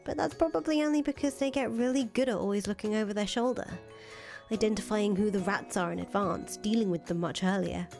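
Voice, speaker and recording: A woman's voice: mid-range voice, brisk, gravelly, darkish tone, lightly acted. A woman voicing a patient, loyal young woman in a visual novel, reassuring and casually sweary. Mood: reassuring, reasoning. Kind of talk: voiced reading of visual novel dialogue. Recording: music playing under the voice.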